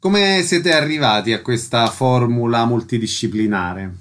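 A man's voice: mid-range voice, measured pace, fairly bright tone. A man reading scripted announcements and questions as a radio host.

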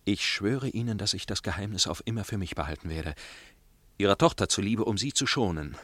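An actor playing a tormented, lovelorn gentleman torn by conscience, speaking formally and earnestly in a radio drama. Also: fairly low pitch, animated, brisk. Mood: pressing, tense. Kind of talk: German radio drama acting, narration and dialogue.

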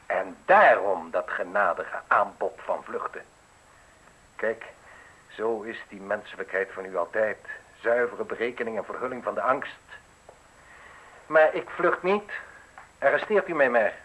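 A man's voice: on the low side, a little slow, pauses often, fairly bright tone, slightly rough. An actor playing a defiant, self-assured newspaperman in a Dutch radio play, arguing in an emphatic, unyielding way. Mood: defiant, insistent. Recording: dull lo-fi radio play recording.

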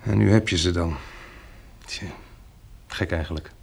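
A courteous, wry gentleman in a radio play. His voice sits low and crackles with fry, husky at the edges. He talks intimately and naturally, as a survivor of disaster keeping up his manners. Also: unhurried, exaggerated voice. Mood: tender, admiring. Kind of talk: radio drama acting, intimate naturalistic dialogue.

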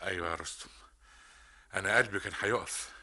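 An actor with an Egyptian accent playing a nervous, jittery accomplice in a spy ring. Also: male voice, low-pitched, sharp tone, full character voice. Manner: jittery, rapid. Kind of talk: 1960s Egyptian radio drama acting.

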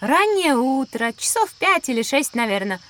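A woman playing an inquisitive child companion in a children's educational audio drama, telling what the child sees in an expressive, descriptive way. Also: high-pitched, moderate pace, far from the mic, loud music behind the voice.

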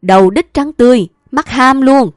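A woman's voice: medium pitch, lively intonation, a little slow, dry recording, lightly acted. Woman doing a jovial older Southern landowner, blunt and teasing, dry close sound. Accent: Southern Vietnamese. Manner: joking, blunt rustic talk.

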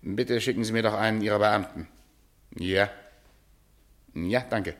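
An actor playing a self-assured, coolly superior upper-class gentleman in a German radio play, speaking formally. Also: on the low side, unhurried. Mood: formal, controlled.

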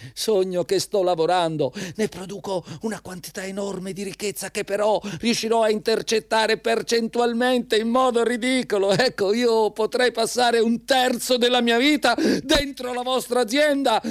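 An out-of-work father in a theatrical radio play. His voice sits high and sounds very dry. He is proud and indignant, insisting on his point over and over, pleading and defiant at once. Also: fairly even intonation, moderate pace, few pauses, no room sound. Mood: pleading, insistent. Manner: insistent, repeats phrases emphatically. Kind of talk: theatrical radio drama dialogue.

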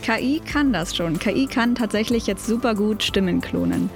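A woman's voice: medium pitch, lively intonation, moderate pace. Woman co-hosting over faint music. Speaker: podcast host. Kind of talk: lightly scripted podcast trailer.

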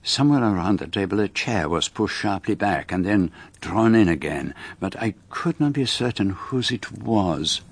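An actor playing a fastidious gentleman detective with a Belgian French accent, narrating his story formally in a radio drama. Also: lowish voice, expressive, husky, no room sound. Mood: hushed, observant. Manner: precise, formal, measured. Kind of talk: scripted first-person narration in radio drama.

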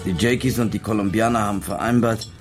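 An actor playing a slick, businesslike informant in a radio drama, brisk and familiar. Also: male voice, on the low side, steady tone, lightly acted. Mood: brisk, sly. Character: slick, businesslike male informant tipping off a drug deal by phone. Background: loud music.

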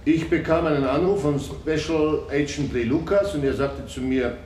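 A man's voice: fairly high pitch, steady tone, normal speed, a little mellow. A man giving courtroom testimony, answering questions matter-of-factly. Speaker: witness. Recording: echoey room with faint noise.

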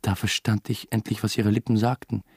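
A man's voice: lowish voice, flat delivery, a little fast, a little mellow, slightly rough, no echo, studio quality. A young man acting as the earnest, reflective first-person narrator of a radio drama, a new father. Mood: reflective narration. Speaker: narrator and lead character.

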